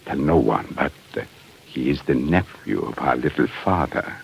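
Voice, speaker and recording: An actor playing a sly prison commandant of Tsarist Russia in 1940s radio drama, gravelly and unctuous, speaking with deliberate insinuation. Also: male voice, extremely low pitch, animated, full character voice. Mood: sly, conspiratorial. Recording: noisy old 1940s radio recording.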